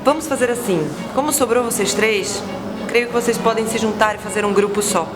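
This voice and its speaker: A woman's voice: on the high side, music in the background, natural voice. A woman playing a formal Portuguese-accented teacher. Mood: explaining. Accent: Portuguese (European). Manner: formal, measured classroom explaining. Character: new history teacher from Portugal, patient and formal. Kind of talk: student short-film acting.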